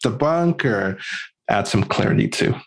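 A man's voice: lowish voice, animated, measured pace, vocal fry. A man hosting a podcast, affirming his guest and asking questions with hesitant fillers. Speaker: podcast host. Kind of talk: casual interview questions.

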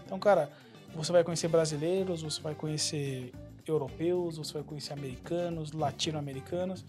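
A man from Brazil co-hosting a casual podcast, talking in a relaxed, agreeable way. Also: a little high, a little fast, over music. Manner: relaxed, agreeable, leans on "cara" and "né". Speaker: podcast co-host. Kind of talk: casual chat.

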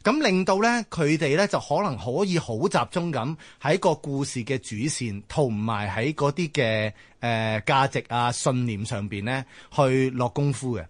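A man's voice: medium pitch, moderate pace, hardly any pauses, dry recording. A man with a Hong Kong Cantonese accent explaining ideas in a casual panel discussion, often checking that listeners follow. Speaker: talk show panelist.

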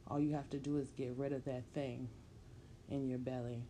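A woman's voice: rumbling low, flat delivery, moderate pace, dark tone, slight background noise. A woman voicing an angry, wealthy male tradesman who speaks harshly and dismissively. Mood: cold.

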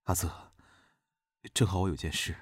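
A man plays a determined young officer in a modern audio drama. He speaks politely and deliberately in a deep voice, recorded very dry. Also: steady tone, slightly rough, dry recording. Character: smooth-talking, determined young male officer. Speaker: voice actor.

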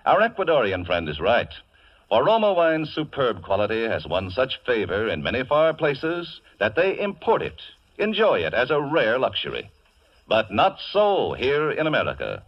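A man's voice: mid-range voice, no echo. A man announcing a radio commercial in polished, emphatic 1940s advertising style. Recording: muffled vintage radio broadcast.

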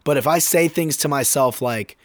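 Hoarse laid-back young man podcasting. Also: on the high side, moderate pace, no echo. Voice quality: slightly hoarse. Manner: laid-back, slangy, rambling with fillers. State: sore throat, getting over being sick. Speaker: podcast host. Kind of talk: casual solo podcast talk.

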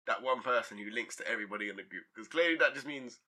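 A man's voice: medium pitch, bright, forceful tone. A young man, hosting a casual podcast with his friends and teasing them. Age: young adult. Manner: fast, teasing, laughs often. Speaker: podcast host. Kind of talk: casual chat.

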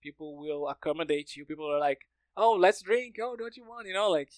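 A man's voice: a little high, animated, a little fast, no echo. A man from Brazil with a Brazilian accent, a native Portuguese speaker, chatting casually and telling stories with plenty of "like" and "you know". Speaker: podcast speaker, language learner. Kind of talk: casual conversation.

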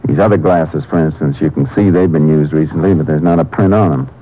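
Deep-voiced ranger, terse and methodical, muffled old radio drama. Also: male voice, fairly quick. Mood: businesslike. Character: calm, businesslike male Texas Ranger investigator. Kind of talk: 1950s radio drama acting. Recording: muffled, noisy vintage radio recording.

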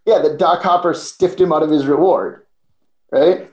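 A man's voice: fairly high pitch, normal speed, darkish tone, echoey room, dull audio. A man in his early thirties co-hosting a casual movie podcast. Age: early 30s. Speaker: podcast co-host.

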